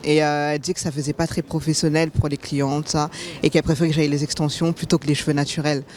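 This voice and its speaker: A woman's voice: sits low, fast. Hesitant young woman recalling work. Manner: hesitant, many fillers, relaxed slang. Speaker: passerby interviewed on the street. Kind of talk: casual street interview.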